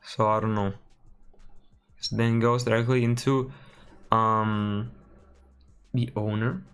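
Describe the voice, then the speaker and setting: A man from Spain with a Spanish accent, thinking aloud while building a game on a live stream and often correcting himself with a quick sorry. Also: on the low side, flat delivery, fairly bright tone. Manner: mutters through steps, apologizes and corrects himself often.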